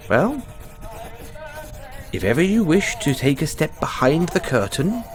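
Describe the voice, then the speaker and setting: A man's voice: very animated, music in the background. A man playing a genteel, theatrical playwright. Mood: affectionate. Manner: theatrical, drawn-out, drops low on "dear boy". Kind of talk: one-sided audio roleplay.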